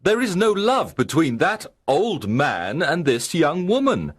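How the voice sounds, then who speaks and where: A man's voice: on the high side, very animated, a little slow, slightly rough, no echo, clean studio sound, voice pushed up, heavily acted. A man voicing a brave young outlaw hero, earnest and firm in a storybook reading. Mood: defiant. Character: bold male outlaw hero, helpful and brave.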